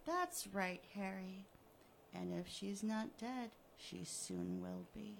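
A woman voicing a sadistic man who taunts his victim in a soft, mocking, drawn-out way. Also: mid-range voice, gravelly, slight background noise. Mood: taunting. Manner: mocking, softly menacing, drawn out. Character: gloating sadistic male killer, taunting.

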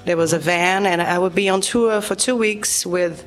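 A woman's voice: on the low side, fairly even intonation, normal speed, soft background music. Chatty Brazilian-born woman musician. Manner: chatty, full of fillers. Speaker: guest musician. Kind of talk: casual interview chat.

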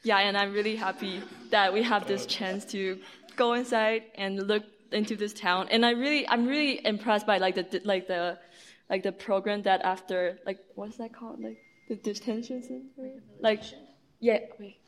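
A young adult woman speaking as a student in an informal thank-you, halting and often saying "like". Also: medium pitch, a bit bright. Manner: halting, heavy use of "like".

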